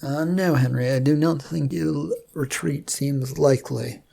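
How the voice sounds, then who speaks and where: A woman's voice: deep voice, unhurried, vocal fry, warm tone, husky, full character voice. A woman voicing a polite, uneasy male knight in a fantasy story, hesitant but reasoning.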